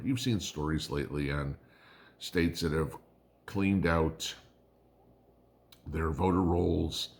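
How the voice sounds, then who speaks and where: A hoarse man in his fifties, a prepping channel host, talking bluntly in a casual opinion monologue and often tagging "okay?". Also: low-pitched. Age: 50s. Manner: blunt, combative, repeats "okay?".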